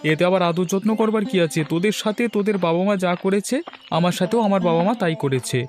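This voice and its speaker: A man's voice: high-pitched, quick pace, music in the background, pitched above the performer's own voice, natural voice. A man voicing a touchy, mischievous young village friend in a cartoon story, speaking insistently. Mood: irritated.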